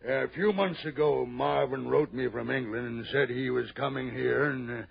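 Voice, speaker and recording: A male actor playing a gross, wheezing rich man in an old radio drama, halting and blustering as he repeats himself. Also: medium pitch, steady tone, gravelly, dull audio, a crowd in the background. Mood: uneasy. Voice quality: wheezy, labored breathing. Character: gross, wheezing, frightened rich fat tycoon. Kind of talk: 1960s radio drama acting. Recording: band-limited old radio recording.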